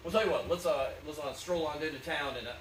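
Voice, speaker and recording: A man's voice: fairly high pitch, fast talker, close to an everyday voice. A man playing a bewildered, sardonic, despairing family man in a stage radio play, with folksy stammering hesitations. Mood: bewildered, joking. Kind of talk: stage radio play acting, 1940s manner. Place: small theatre stage before an audience. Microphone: far audience camera. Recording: echoey theatre, distant mic, background noise.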